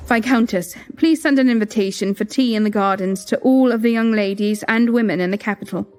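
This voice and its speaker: Audio drama lead: composed young queen played by a woman, refined, measured, dutiful narration with music beneath. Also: fairly high pitch, darkish tone, natural voice.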